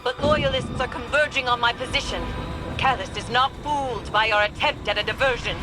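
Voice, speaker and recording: A woman's voice: on the high side, a little fast, music in the background, lightly acted. A woman playing a tense, focused field operative in a video game, formal and urgent in her reports. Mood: tense, grave. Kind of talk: cinematic video game acting.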